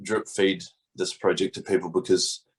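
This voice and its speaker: A man's voice: sits low, flat delivery, moderate pace, slightly rough. A young man from New Zealand, a band's guitarist, talking casually and joking in an interview. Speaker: guitarist in a band.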